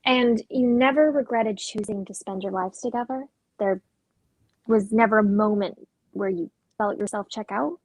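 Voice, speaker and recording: A woman's voice: mid-range voice, normal speed, darkish tone, natural voice, rough recording. A woman playing a practical, skeptical but sympathetic young woman, understated and conversational in a play reading. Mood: gentle, sympathetic. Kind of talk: dramatic script reading.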